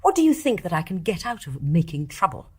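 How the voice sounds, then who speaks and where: An actress playing a formal, insistent, dutiful British private nurse in a radio drama. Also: female voice, lowish voice, sing-song, a little fast, warm tone, breathy edge. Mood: affronted. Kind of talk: British stage-play radio acting.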